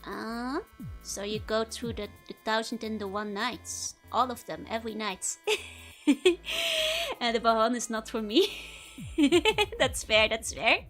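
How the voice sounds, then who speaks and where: A woman's voice: on the high side, slow pace, fairly bright tone, faint music behind. A woman with a Dutch accent, streaming and chatting casually with viewers, giggling often and rambling with lots of fillers. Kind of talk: casual chat to live viewers.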